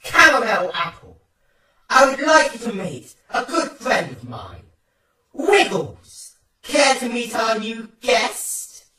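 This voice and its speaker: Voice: medium pitch, sing-song, takes it slowly, bright, forceful tone, roomy sound, recorded from a distance, voice pushed up, heavily acted. A performer voicing a volatile female pony princess, imperious and theatrical, with menacing politeness, in a storybook reading. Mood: menacing fury.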